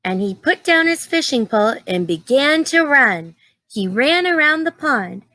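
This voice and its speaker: A woman reads a picture book to young children in a slow, deliberate storytelling style. Her voice is bright and fairly high. She is expressive and breaks off to explain things and put simple questions to her listeners. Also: low-quality recording. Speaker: teacher reading aloud to young children.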